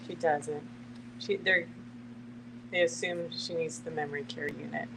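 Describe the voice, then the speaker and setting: A woman's voice: deep voice, steady tone, a little slow, vocal fry. A woman speaking emphatically in short statements during a casual group discussion. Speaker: discussion participant. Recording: noisy room with hum.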